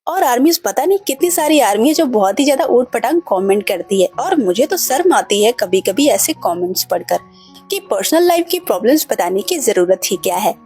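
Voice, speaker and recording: A woman's voice: a little high, big pitch swings, brisk, a little mellow, husky, faint music behind. A woman narrating a chatty fan recap in a gossipy way, often turning to address her fellow fans directly.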